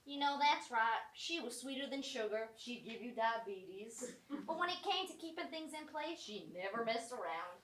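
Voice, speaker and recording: Woman playing a high-voiced, prim country mourner. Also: lively intonation, moderate pace, fairly bright tone, a little hiss or hum. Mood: fond, teasing. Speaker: actor in a staged reading of a short play. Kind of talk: live amateur stage comedy acting. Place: small college theatre stage. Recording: roomy theatre, mic at a distance.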